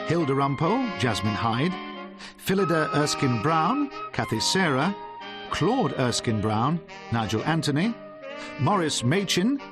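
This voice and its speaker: Male continuity announcer, mid-range voice, animated delivery of scripted credits, music underneath. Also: mid-range voice. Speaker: radio announcer.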